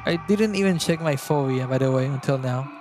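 A man's voice: medium pitch, fairly quick, music in the background. A young man, chatting casually through a gameplay guide and sometimes hunting for words. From Argentina. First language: Spanish. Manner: chatty, searches for words, swears at the game. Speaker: gaming YouTuber.

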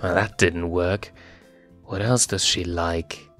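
A man's voice: low voice, steady tone, takes it slowly, a bit bright, soft background music, pitched below the performer's own voice. A man voicing a playful, hapless young male lion cub in an amateur comic dub, stammering and hesitant. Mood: earnest. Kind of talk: amateur comic fandub acting.

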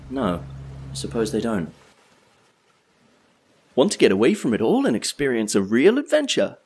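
A man's voice: mid-range voice, huge pitch swings, normal speed, a little mellow, a little hiss or hum. An actor playing a wistful, solitary drifter who tells his story in a reflective, wry monologue for an audio play.

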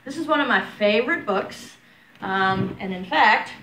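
A middle-aged woman, a preschool teacher talking and reading a picture book aloud to young children in an expressive, deliberate way. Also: medium pitch. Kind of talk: picture-book read-aloud with chatty announcements. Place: home room at a wooden table, indoors. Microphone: camera across the table. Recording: roomy, distant mic, background room noise.

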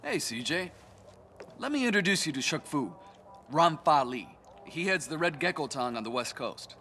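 An actor playing a calm, polite gang leader with a formal, measured way of speaking. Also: male voice, on the high side, slight background noise.

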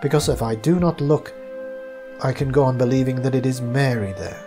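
A man reading his own first-person story as scripted narration, in a measured, literary way. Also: medium pitch, music in the background.

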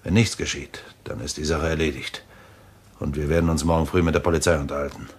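A desperate, armed husband in a thriller radio play. His voice sits very low and husky, and he broods over his words with deliberate, theatrical weight and grim resolve. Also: fairly even intonation. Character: Louis, grimly resolved husband with a revolver, obsessed.

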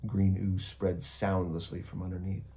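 A man with a low voice reads a picture book aloud. His tone is dark and relaxed, and his delivery is expressive, made for children. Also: a little slow. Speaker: storyteller, host.

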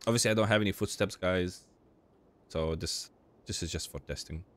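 A man's voice: low voice, normal speed, fairly bright tone. A young man with a cold and a slightly crackly voice, rambling casually with lots of fillers as he explains game settings over his gameplay. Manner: rambling, informal, frequent fillers and restarts. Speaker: gaming channel host.

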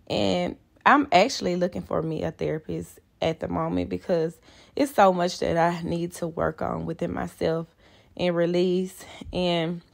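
A woman's voice: lowish voice, creaky, no room sound. A young woman vlogging casually from her parked car, chatting and rambling to her viewers. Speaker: fitness trainer and vlogger. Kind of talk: casual vlog talk to camera.

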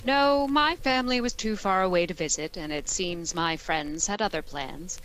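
A woman's voice: lowish voice, moderate pace, fairly bright tone, natural voice, low-quality recording. A woman playing a wry, patient English teacher with a dry, sardonic delivery in an old-time radio comedy. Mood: wry narrating. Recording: clear background noise, audience sounds.

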